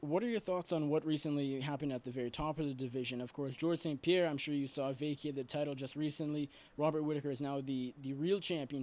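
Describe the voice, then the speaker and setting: A man's voice: a little high, darkish tone. A man interviewing a fighter, asking long run-on questions.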